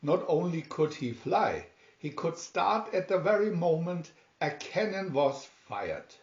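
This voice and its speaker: A man reading a children's story aloud in a deliberate, dramatic storytelling manner. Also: fairly high pitch, big pitch swings, a little mellow. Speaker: storybook reader. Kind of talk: scripted children's story narration, read aloud. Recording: roomy, slightly dull home recording.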